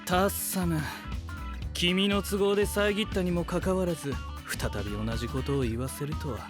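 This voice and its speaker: A man's voice: high voice, moderate pace, lightly acted. A man playing a pedantic, aloof young scientist knight in a comedic audio drama, speaking in a pompous, wordy, logical way. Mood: reproachful. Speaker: voice actor. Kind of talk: comedic character dialogue. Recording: studio voice over prominent background music.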